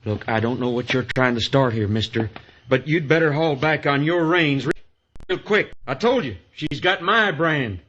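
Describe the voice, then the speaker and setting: Sharp-voiced, hot-headed cowboy. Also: male voice, mid-range voice, expressive, normal speed. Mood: angry, threatening. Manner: blustering, drawling threats. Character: jealous, hot-headed Western cowboy beau, possessive and threatening. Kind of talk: old-time radio western acting. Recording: dull, noisy old radio recording.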